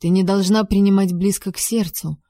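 A woman's voice: mid-range voice, fairly even intonation, brisk, mellow, dry recording, studio quality, natural voice. A woman voicing a stern, commanding king. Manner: curt, commanding.

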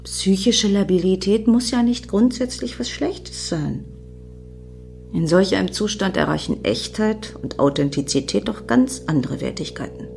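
An actress in a radio play voicing an excitable, obsessive female fan who muses dreamily and justifies herself as she rambles. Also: mid-range voice, unhurried, gravelly, over music. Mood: self-justifying.

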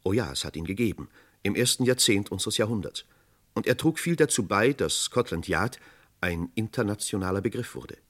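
Low-voiced 1960s radio crime narrator. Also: male voice, expressive, a little fast. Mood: measured, rhetorical. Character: male narrator of a 1960s true-crime radio documentary drama.

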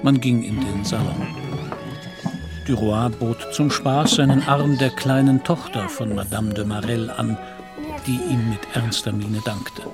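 An actor narrating a literary society story in a German radio play in a composed, measured way. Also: male voice, mid-range voice, fairly even intonation, loud music behind the voice, lightly acted.

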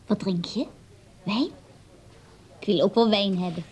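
Offhand, slightly brusque woman, breathy-husky tone, middling pitch, radio play. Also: unhurried, a little mellow.

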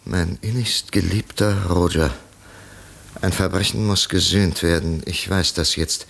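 An actor playing a troubled, stubborn wealthy gentleman in a halting, emotional 1950s radio drama style. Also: sits low, steady tone, vocal fry, full character voice. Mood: shaken, grieving. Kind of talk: 1950s German radio play acting. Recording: noisy vintage 1950s radio recording.

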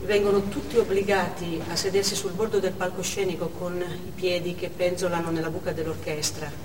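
Actress playing a shaken female witness, theatrical storytelling, deliberate pauses, far-off echoing hall, background noise. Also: on the low side, flat delivery, lightly acted. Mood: tense, dread. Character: shaken woman survivor recounting a theatre hostage siege. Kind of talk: theatrical monologue, first-person narration on stage. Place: theatre stage, distant microphone.

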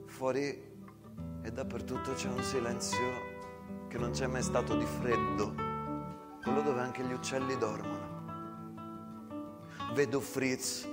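A man narrating a theatrical monologue in short, measured phrases with dramatic pauses. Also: medium pitch, fairly even intonation. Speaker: actor-storyteller. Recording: long reverb, loud guitar music behind. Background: plucked guitar music.

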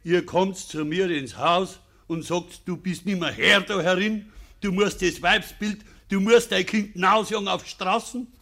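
A man's voice: high voice, measured pace, bright, forceful tone, exaggerated voice. An actor playing a proud, embattled village father in a German radio play, blunt and indignant, in Bavarian dialect. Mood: outraged.

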